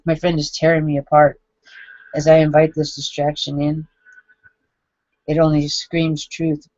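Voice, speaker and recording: A man in his forties reading his own journal entries aloud, halting and self-critical, with frequent restarts. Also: a little high, monotone, darkish tone, no room sound. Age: 40s. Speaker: vlogger. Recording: dull lo-fi dry recording.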